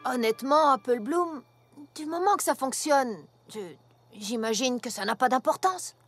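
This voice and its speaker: A woman's voice: sits high, normal speed, slightly rough. A woman voicing an earnest, protective big-sister pony, frank and plain-spoken, in a cartoon. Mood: reluctant, reasoning. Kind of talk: animated cartoon dubbing. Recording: faint background music.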